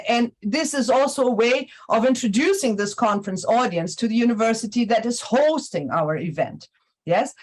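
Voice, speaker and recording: A woman with a Brazilian Portuguese accent, a native Portuguese speaker giving an academic lecture in a university hall, speaking haltingly with many restarts and fillers. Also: fairly high pitch. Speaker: conference lecturer.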